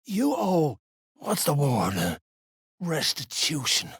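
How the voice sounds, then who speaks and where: A man playing a grim, menacing duergar dwarf thug in a video game, terse and very raspy. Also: fairly high pitch, wildly swooping pitch, slow, fairly bright tone, dry recording, clean studio sound, heavily acted. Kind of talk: video game character voice acting.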